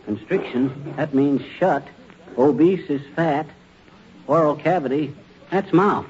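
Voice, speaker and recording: An actor playing a grumpy, wisecracking husband who groans and blusters in a 1940s radio comedy. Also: male voice, fairly high pitch, slow. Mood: blustery. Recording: muffled, noisy old radio recording.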